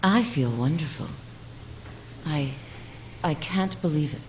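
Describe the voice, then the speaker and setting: A woman's voice: sits low, lively intonation, slow, noisy background. An actress playing a lonely, smitten woman in a video game, with tender, yearning delivery. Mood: tender, emotional. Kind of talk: scripted game dialogue.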